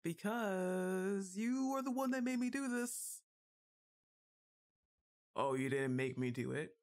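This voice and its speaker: A woman's voice: medium pitch, expressive, takes it slowly, darkish tone. A woman playing a goth giantess witch girlfriend in an ASMR roleplay, exasperated but fond, with a casual, wry, hesitant delivery. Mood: flustered, scolding.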